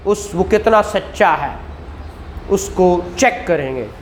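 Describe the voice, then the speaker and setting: A man giving a classroom law lecture, explaining in a conversational tone, reading legal text slowly, and acting out courtroom questions. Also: high-pitched, expressive, a little hiss or hum. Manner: explains in Urdu, reads English statute text slowly aloud; acts out courtroom exchanges.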